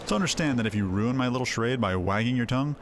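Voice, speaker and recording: An actor playing a roguish former mercenary in a video game, jovial yet menacing, with wry and theatrical delivery. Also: male voice, medium pitch, racing, slight background noise, close to an everyday voice. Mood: wry, threatening.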